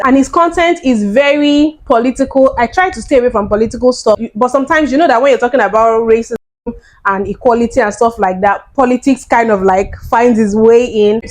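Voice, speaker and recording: Woman host, casual reaction commentary, friendly, chatty, slightly high voice. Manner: friendly and chatty, with filler words. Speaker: YouTube channel host.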